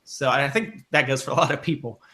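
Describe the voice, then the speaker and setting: A man's voice: medium pitch, a little fast, sharp tone. A man hosting a casual podcast chat, rambling with lots of fillers and restarts.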